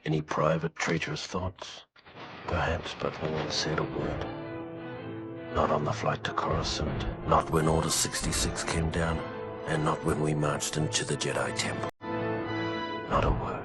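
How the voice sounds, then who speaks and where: An actor playing a weathered veteran clone trooper, narrating his war memoirs for a video game in a measured, grave, wry soldierly manner. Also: extremely low pitch, flat delivery. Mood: somber, reflective. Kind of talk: scripted in-character journal narration for a game. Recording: music behind the voice.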